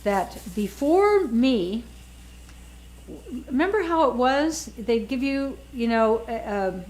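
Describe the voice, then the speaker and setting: An older woman in her sixties talks in a relaxed, conversational way with a fairly high voice. She leans hard on key words and often repeats herself to drive a point home. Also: slow, rough recording. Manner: emphatic, repeats words, animated. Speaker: author and guest. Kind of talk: casual chat.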